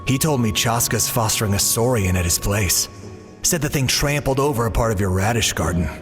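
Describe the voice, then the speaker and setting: A man's voice: fairly low pitch, a little fast, lightly acted. A man voicing a jovial, chatty, easygoing uncle in a video game, with a hearty, folksy manner. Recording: long reverb, faint music.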